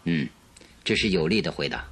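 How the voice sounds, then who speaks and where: An actor playing a shrewd, composed detective who questions with measured authority in a radio drama. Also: male voice, deep voice, lively intonation, fairly bright tone, breathy edge, full character voice. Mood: analytical.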